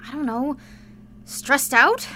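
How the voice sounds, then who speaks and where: A woman's voice: high voice, lively intonation, slow pace, sharp tone, slight background noise, exaggerated voice. A young woman playing an anxious, stubborn, sarcastic superpowered character in a modern audio drama, guarded and informal in manner. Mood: defensive.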